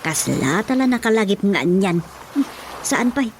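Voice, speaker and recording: A woman's voice: mid-range voice, very animated, a little slow, slight background noise. An actress with a Filipino Ilocano accent playing a practical, cautious mother in a radio drama. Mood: firm, advising. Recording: music behind the voice.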